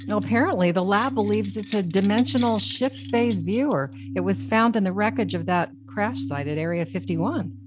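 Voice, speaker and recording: A woman's voice: medium pitch, animated, normal speed, few pauses, background noise, close to an everyday voice. A woman playing a dedicated, earnest American government agent in a radio drama. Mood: intrigued. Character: serious female government agent, dedicated investigator.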